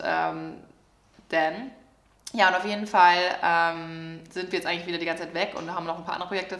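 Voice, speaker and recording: Chatty young woman, roomy echo. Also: lowish voice. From Germany, Lower Saxony near Hamburg. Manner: chatty, excited, many fillers. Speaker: vlogger. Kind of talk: casual life update vlog. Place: apartment room.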